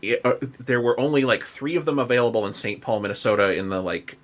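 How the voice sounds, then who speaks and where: A man's voice: mid-range voice, quick pace. An American man hosting a podcast, telling stories in a casual, rambling way full of filler "likes". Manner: rambling, frequent "like". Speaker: podcast host. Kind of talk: casual chat and storytelling.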